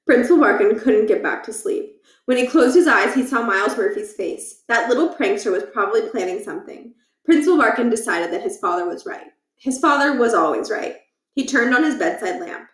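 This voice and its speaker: A woman reading a children's book aloud in an animated storytelling style. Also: fairly high pitch, a little fast, roomy sound, far from the mic.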